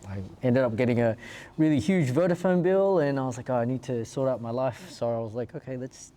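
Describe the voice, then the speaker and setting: A man's voice: mid-range voice, brisk, dark tone. A man from Perth with an Australian accent, telling their story in a casual, informal and slightly rambling way as an interview guest. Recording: clear background ambient noise.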